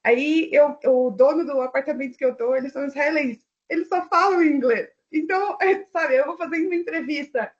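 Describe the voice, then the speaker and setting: A woman's voice: sits high, fairly quick, few pauses. A woman from Brazil with a Brazilian Portuguese accent, chatting on an online panel and thinking aloud with fillers. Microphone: video call. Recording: dull lo-fi online call audio.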